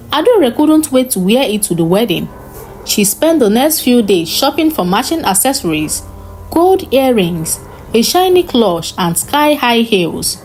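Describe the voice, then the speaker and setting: A woman with a fairly high voice tells a scripted folktale. Her intonation swings in a lively, dramatic way. Faint music plays behind her. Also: poor audio. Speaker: storyteller narrator.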